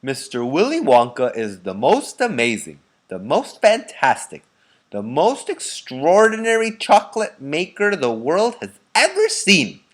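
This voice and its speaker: A man's voice: on the high side, wildly swooping pitch, measured pace, a bit bright, voice pushed up, full character voice. A man voicing a very old grandfather in a children's storybook, eager and boastful, speaking in emphatic exclamations. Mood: excited, amazed. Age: nineties. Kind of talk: children's storybook read-aloud.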